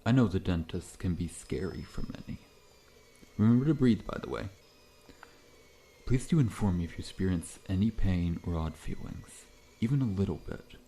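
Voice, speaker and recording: A man playing a massive, friendly but faintly menacing scorpion-man dentist in an ASMR roleplay, speaking in a gentle, reassuring, politely formal way. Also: deep voice, moderate pace. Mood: calm, reassuring. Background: background music.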